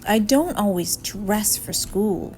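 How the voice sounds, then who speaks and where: A woman voicing a polite, mild male schoolteacher from a storybook, explaining things in a measured, patient way. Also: medium pitch, animated, darkish tone, slight background noise. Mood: mildly awkward.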